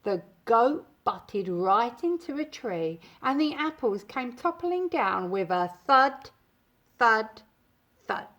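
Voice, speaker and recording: A woman's voice: fairly high pitch, very animated, takes it slowly, fairly bright tone, highs cut off. A woman reading a children's story aloud in a dramatic, emphatic storytelling style.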